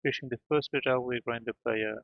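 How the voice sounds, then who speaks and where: A man's voice: mid-range voice, fairly even intonation, fairly quick, no echo, muffled recording. A man streaming a game, mumbling to himself and reading notes aloud in a casual, half-pleading way. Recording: game music playing behind.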